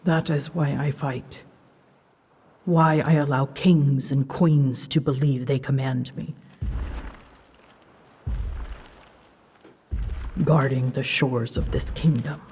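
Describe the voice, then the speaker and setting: A woman playing an ancient female dragon in a fantasy ASMR drama, solemn and tender, speaking softly in measured, formal phrases and often near a whisper. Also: rumbling low, steady tone, a little hiss or hum. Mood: solemn, quiet. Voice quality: soft, breathy, husky, often dropping into a whisper. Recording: long cavernous reverb.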